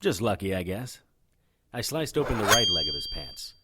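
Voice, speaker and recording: An actor playing a hard-boiled occult private investigator in a noir audio drama, with a deadpan, wry manner. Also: male voice, lowish voice, normal speed, very noisy. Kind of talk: noir radio drama acting with first-person narration.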